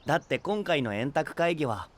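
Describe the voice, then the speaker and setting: A man's voice: a little high, expressive, moderate pace, lightly acted. A man playing an easygoing, optimistic young knight in an anime-style voice drama, in a light, reassuring manner. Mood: upbeat, reassuring. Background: prominent background music.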